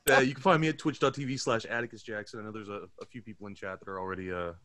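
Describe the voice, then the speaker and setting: A man's voice: lowish voice, steady tone, very fast, bright, forceful tone, no room sound. A man chatting casually as a member of a livestream panel. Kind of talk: casual chat.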